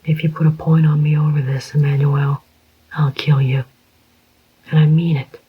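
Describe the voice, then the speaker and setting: A reader whispering the part of a rough, suspicious male burglar in a slow, flat voice. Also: fairly high pitch. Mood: threatening. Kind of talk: whispered audiobook reading. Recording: dull lo-fi recording.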